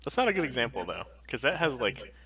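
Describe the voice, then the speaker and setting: A man's voice: mid-range voice, very animated, fast talker, bright, forceful tone, breathy edge. A man co-hosting a stream, chatting in casual banter. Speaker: podcast and stream co-host.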